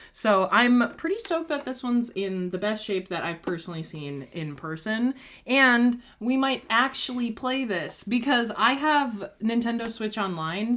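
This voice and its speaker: An enthusiastic young woman chats casually at home, recorded on a phone propped on a computer. Her voice is bright and lively. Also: fairly low pitch. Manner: upbeat, enthusiastic. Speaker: co-host. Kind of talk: casual chat.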